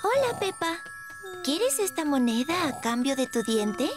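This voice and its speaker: A gentle cartoon fairy, very high and sing-song. She speaks sweetly and without hurry. Tinkling music plays behind her. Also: very animated, unhurried. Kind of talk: children's cartoon dub.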